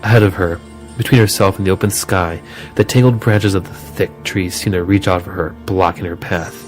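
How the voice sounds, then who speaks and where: A man with a low voice narrates a scripted horror story. He tells it dramatically, as the narrator of an audio drama. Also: steady tone, fairly quick, soft background music.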